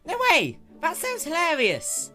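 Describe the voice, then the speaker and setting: A woman voicing a brash, cocky tomboy pegasus pony in an excitable, slangy way for a dramatic fan-fiction reading. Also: high-pitched, a little slow, faint music behind, voice pushed up, exaggerated voice. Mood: teasing, amused.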